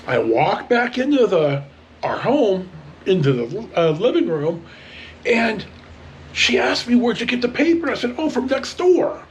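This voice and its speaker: An American man telling casual personal stories to camera as a vlog host, in an animated, halting, rambling way. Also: very high voice, slight background noise. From northern California, United States.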